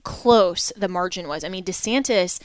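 A woman hosting a talk radio interview, talking in a measured, reasoned way with frequent restarts. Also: fairly low pitch, no echo, studio quality. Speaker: host.

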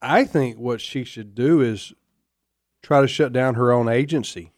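A middle-aged man hosting a talk radio program, chatting in a folksy, opinionated way and often pausing on "uh". His voice sits at an ordinary middle pitch. The studio sound is close and dry, with no room echo. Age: 50s. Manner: folksy, opinionated, halting with many fillers. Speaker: radio talk show host.

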